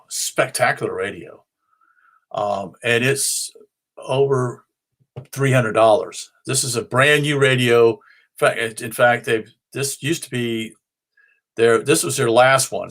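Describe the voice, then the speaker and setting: A man presenting in an informal, unscripted explanatory way, rambling a little and often pausing to search for his words. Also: medium pitch, a bit bright. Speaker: presenter of a ham and shortwave radio show.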